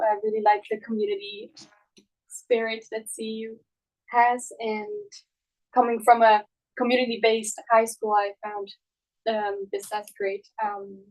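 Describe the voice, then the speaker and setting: High-voiced young woman, hesitant. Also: a little mellow, rough recording. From Slovakia. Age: young adult. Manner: hesitant, pausing mid-phrase. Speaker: student panelist. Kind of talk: unscripted panel answers.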